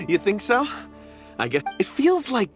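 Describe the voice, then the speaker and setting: An earnest, modest young male guide from a video game. He is courteous, with very animated intonation and clear music underneath. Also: high-pitched, takes it slowly, full character voice.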